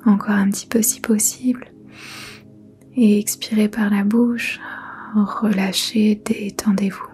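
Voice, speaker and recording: Slow, soft-spoken woman guiding meditation. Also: medium pitch, flat delivery. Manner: soft, breathy, near-whispered delivery; soothing, gentle, unhurried instruction. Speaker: yoga nidra guide. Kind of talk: guided meditation.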